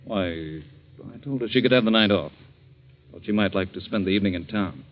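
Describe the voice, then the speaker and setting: A quick, clipped, fairly low male voice on a dull old radio recording. an impatient husband in a forties mystery drama, brisk and evasive. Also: animated, slight background noise. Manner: brisk, clipped, easily exasperated. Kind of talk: 1940s radio mystery acting.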